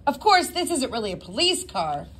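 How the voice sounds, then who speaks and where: Storybook schoolgirl, acted by a woman: cheeky, dryly witty, very animated delivery. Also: sits high, normal speed, voice pushed up, full character voice. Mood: smug teasing. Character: cheeky, self-assured schoolgirl with sly wit.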